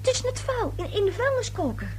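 A woman's voice: extremely high pitch, very animated, a little fast, darkish tone. An actress playing a defensive, flustered young woman in a radio play, stammering and repeating her words. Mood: pointed, tart. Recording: hummy, noisy old radio recording.

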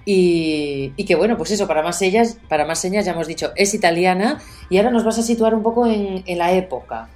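Female radio host, rather low register, moving between introductions and easy chat. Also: fairly quick, few pauses, darkish tone, soft background music. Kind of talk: casual chat and scripted introductions.

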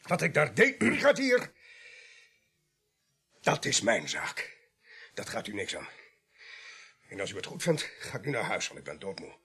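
An actor playing a curt, defensive, weary van driver in a radio play, with a husky, creaky voice. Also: male voice, lowish voice, wildly swooping pitch, unhurried, long pauses, pushed and bright, full character voice. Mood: defiant, tired. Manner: terse, guarded.

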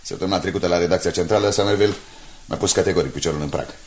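An actor playing an anxious newspaper manager under pressure in a radio drama, speaking earnestly and with agitation. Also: male voice, low voice, darkish tone, lightly acted. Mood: worried, agitated. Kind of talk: 1980s Romanian radio drama acting.

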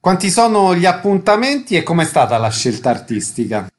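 A man's voice: sits high, very animated, a little fast. A man hosting a radio programme, putting short questions and reading scripted announcements. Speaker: radio interviewer, host.

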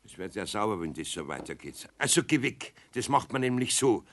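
Older bavarian carpenter, grumbly but kind, sighing, lively up and down, bright voice, kids radio play. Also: male voice, fairly low pitch, a little fast. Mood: exasperated. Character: grumbling but good-natured older male master carpenter. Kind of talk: 1970s children's radio play acting.

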